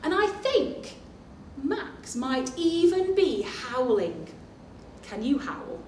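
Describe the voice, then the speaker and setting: Slow, animated woman reading a picture book. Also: high-pitched. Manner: animated, expressive, with gasps and questions to the young listeners. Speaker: librarian reading to young children. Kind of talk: children's picture-book story time reading with asides to the listeners. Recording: echoey room, distant mic, faint noise.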